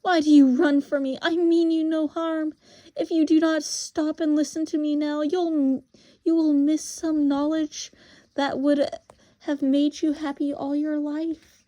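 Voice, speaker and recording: Lady reader doing a proud evil storybook witch, high loud voice, bossy n scornful, grand old-fashioned talk. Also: little pitch movement, a little slow. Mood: coaxing, imperious. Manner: commanding, scornful, grandly formal.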